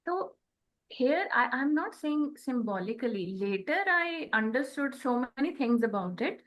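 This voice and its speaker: A woman in reflective spiritual conversation, speaking in short phrases with frequent pauses. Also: sits high, animated, fairly bright tone, rough recording. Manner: halting, pausing between short phrases. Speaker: podcast co-host.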